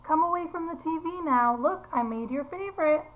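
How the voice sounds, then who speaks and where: A woman's voice: high-pitched, moderate pace, a bit bright, voice pushed up. A woman voicing a protective, evasive mother from a children's picture book in a reassuring, parental manner. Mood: anxious, soothing.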